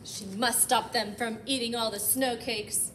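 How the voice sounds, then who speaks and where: A woman with a fairly high voice reads a story to young children as a storytime host. Her delivery is expressive and emphatic, slipping easily into chatty asides. The recording has clear background noise around her voice. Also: unhurried. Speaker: library storytime host reading a picture book aloud.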